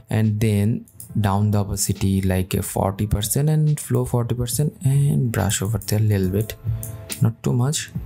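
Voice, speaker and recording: Slow gravelly man with dark voice. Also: on the low side. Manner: slow, thinking aloud while working, non-native phrasing. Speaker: tutorial host. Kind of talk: screen-recorded software tutorial.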